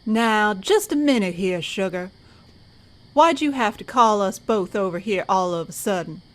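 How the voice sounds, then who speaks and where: A woman's voice: medium pitch, lively intonation, unhurried, close to an everyday voice. A woman playing a sassy, challenging band leader and hotel owner in an audio drama. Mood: sassy, annoyed.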